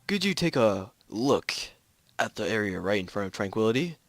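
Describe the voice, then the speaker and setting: A man's voice: lowish voice, sing-song, moderate pace, no echo, studio quality. A man playing a nervous American astronaut who is alarmed and hesitant as he reports from the moon in an amateur radio-play style reading. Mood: uneasy, bewildered. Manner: hesitant, panicky delivery.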